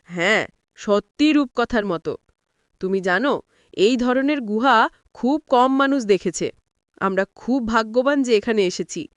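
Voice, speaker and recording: A woman's voice: a little high, lively intonation, unhurried, long pauses, no echo. A woman voicing a reassuring, knowledgeable older father-in-law in storybook dialogue. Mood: marvelling, explanatory. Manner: reassuring, explanatory.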